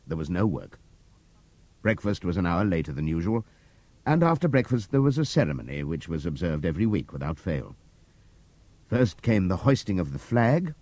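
A man reading an audiobook aloud in a measured, expressive storytelling manner. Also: low-pitched, no echo. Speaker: audiobook narrator. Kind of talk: scripted narration.

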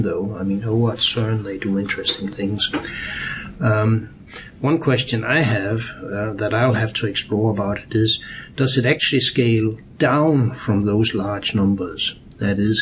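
An older man from Denmark, answering interview questions in a measured way with dry humor and frequent hesitations. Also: fairly low pitch, a little hiss or hum. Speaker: guest. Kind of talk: interview conversation.

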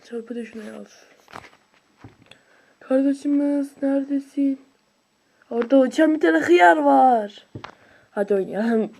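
An excitable video creator with a high voice, giving casual, slangy gameplay commentary with shouts and whoops. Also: slow pace, vocal fry, pauses often.

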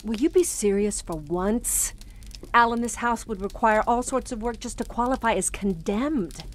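An actress playing a sardonic, uneasy young wife on honeymoon, in old-time radio drama style. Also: female voice, on the high side, moderate pace, lightly acted. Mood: exasperated, uneasy. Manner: dry, sarcastic.

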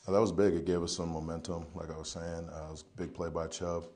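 Young male athlete, very low and flat, halting post-game podium answers. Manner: halting, many ums and you-knows, low-key. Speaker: football player. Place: press conference room, indoors. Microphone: podium mic in front.

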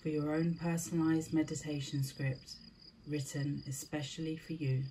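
A woman leading a scripted guided meditation in a soothing, deliberate manner, with short spaced phrases. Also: deep voice, flat delivery, background noise.

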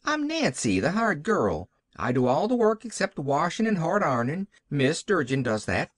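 A man's voice: on the high side, huge pitch swings, moderate pace, no echo, clean studio sound, voice pushed up. A man playing a kindly, flustered hired girl with stammering, folksy country speech in a storybook. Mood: matter-of-fact.

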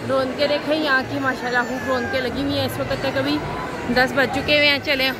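A woman's voice: high voice, little pitch movement, a little fast. A woman with a Pakistani accent casually narrating a vlog to her viewers in appreciative, conversational running commentary, recorded on a handheld phone in a shopping mall. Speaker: family vlogger. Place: busy indoor shopping mall. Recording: very noisy, crowded mall ambience. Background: loud crowd and mall noise.

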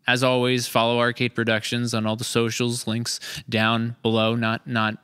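A man's voice: medium pitch, monotone, moderate pace, bright, forceful tone, clean tone. A man hosting a casual interview podcast with a playful, joking manner, speaking into a studio microphone. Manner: jokey, playful. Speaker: podcast host. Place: large indoor studio room. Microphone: podcast microphone on a boom arm.

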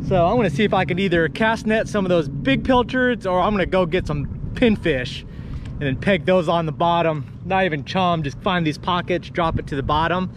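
Man, middle-aged, high-pitched, chatty fishing commentary, action camera outdoors, wind and water noise loud behind. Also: normal speed. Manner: chatty, exclamatory, talks to himself. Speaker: kayak fishing vlogger. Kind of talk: casual running commentary while fishing. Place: kayak on open water outdoors. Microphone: action camera mounted on kayak.

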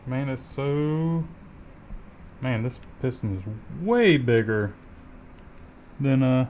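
A man's voice: mid-range voice, animated, takes it slowly, a little mellow, slight background noise. A man in his thirties, a mechanic host chatting casually as he rebuilds an engine in his garage workshop. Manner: easygoing, talks while working with his hands.

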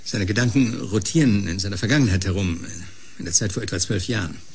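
An actor playing a cool, sardonic, ambitious research scientist with a dry, matter-of-fact manner in a radio drama. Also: male voice, fairly low pitch, fast, a little hiss or hum, lightly acted. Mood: dry, skeptical. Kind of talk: German science-fiction radio drama acting.

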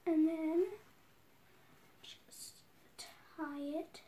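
Young girl vlogger, high-pitched and unhurried, casual and self-narrating, recorded on a device a few feet off in a quiet room. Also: flat delivery. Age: child, around 10 to 12. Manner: chatty, unscripted, self-talking while tying a headscarf. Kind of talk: casual home tutorial vlog. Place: small bedroom indoors. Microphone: phone or tablet camera set across the room.

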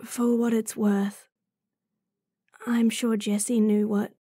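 A woman's voice: fairly high pitch, flat delivery, takes it slowly, dark tone, husky, dry recording, studio quality. A woman playing an idealistic, stubborn young werewolf in an audio drama, earnest and defiant but restrained. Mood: apologetic, firm. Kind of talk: audio roleplay drama acting.

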